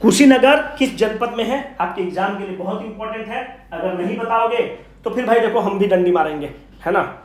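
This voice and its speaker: A man's voice: sits high, moderate pace. A man giving an informal exam revision lecture, asking questions and answering them himself while addressing students familiarly. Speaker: exam coaching teacher. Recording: roomy, echoing sound.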